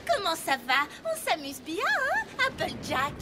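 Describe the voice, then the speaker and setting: A woman's voice: very high-pitched, huge pitch swings, a little slow, very noisy, exaggerated voice. A woman voicing a prim, fussy young mare pony in a French cartoon dub, with an affected, theatrical manner. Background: cartoon music score.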